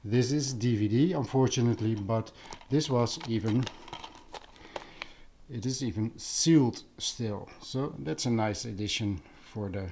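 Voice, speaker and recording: A man with a Dutch accent talks in a relaxed, soft-edged tone. His pace is slow, and he often searches for the next word. It is casual, off-the-cuff vlog commentary from someone at home. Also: medium pitch, slight background noise. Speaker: vlogger, audio enthusiast. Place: living room at home.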